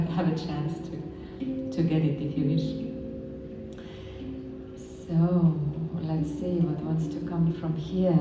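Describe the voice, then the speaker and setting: Slow, dreamy woman in an echoey hall. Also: fairly low pitch. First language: Estonian. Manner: slow, dreamy, with many pauses and fillers. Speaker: poet reading at a poetry night. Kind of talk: spoken introduction and poetry reading. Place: live event hall with handpan music. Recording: distant, reverberant, dull hall recording.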